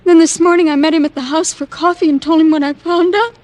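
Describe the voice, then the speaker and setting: Scared desperate lady in old radio melodrama, really high voice, pleading. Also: fairly even intonation, quick pace, a little mellow. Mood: anxious, pleading. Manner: pleading, anguished, breaking into sobs. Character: desperate, frightened young female client in trouble.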